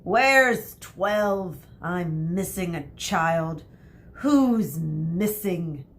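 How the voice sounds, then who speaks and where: A woman's voice: lowish voice, animated, very slow, exaggerated voice. A woman voicing a stern, impatient schoolteacher from a children's storybook, clipped and scolding. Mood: stern counting.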